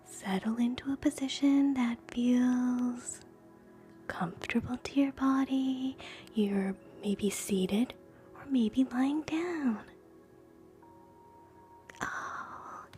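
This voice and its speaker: A woman hosting live ASMR in a soft, breathy whisper, gentle and soothing, moving between guided relaxation and quiet chat. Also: a little high, drawn-out words, pauses often, faint music behind. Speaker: ASMR streamer, host.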